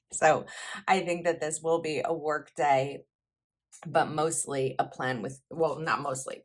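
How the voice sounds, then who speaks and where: A young woman on her own channel, chatting casually as she fills in her planner. Her voice is a little froggy from a lot of talking, and she rambles, stopping often to correct herself. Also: very deep voice. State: slightly froggy voice from heavy talking. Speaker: planner YouTuber. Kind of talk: casual planner vlog chat.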